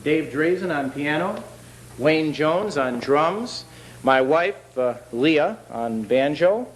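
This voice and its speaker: A man's voice: a little high, animated, slow pace, slight background noise, low-quality recording. A man, a bandleader, answering interview questions in a casual, slightly hesitant, explanatory way. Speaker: jazz bandleader, interview guest.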